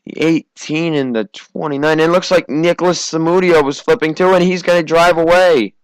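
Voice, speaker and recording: A teenage American man from Massachusetts giving casual, conversational amateur racing commentary, his voice slightly hoarse from a cough. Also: on the high side, steady tone, moderate pace, dry recording, poor audio. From Massachusetts, United States. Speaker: race commentator.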